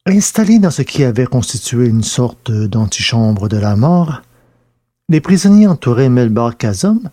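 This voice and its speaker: A man reads literary narration for a science fiction audio serial. His voice is dark and mellow, sitting at a middle pitch. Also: sing-song, unhurried.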